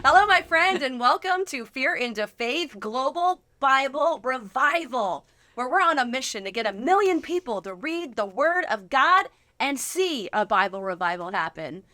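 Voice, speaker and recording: An effusive woman host with a fairly high, lively voice. She chats with encouragement, and her tone is bright in a dry studio sound. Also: moderate pace, hardly any pauses, no echo. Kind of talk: studio interview chat.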